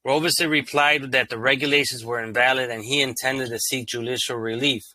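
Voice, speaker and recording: A man hosting his own channel, reading court rulings aloud in an even, monotone way and breaking off into casual, slangy commentary. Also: mid-range voice, a little fast, no echo. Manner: reads legal text evenly, then breaks into loose slangy asides.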